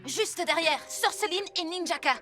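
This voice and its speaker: A performer voicing a sensible, quick-witted young boy who leads a superhero team in a French cartoon dub, speaking decisively. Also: very high voice, bright, forceful tone, soft background music. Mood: decisive, urgent. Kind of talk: French animated series dubbing.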